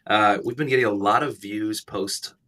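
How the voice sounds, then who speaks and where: Raspy-voiced man hosting a livestream. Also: on the low side, moderate pace, sharp tone, far from the mic. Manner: rambling, self-deprecating joking. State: raspy from allergies, stuffy nose. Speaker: livestream host. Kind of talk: casual livestream chat.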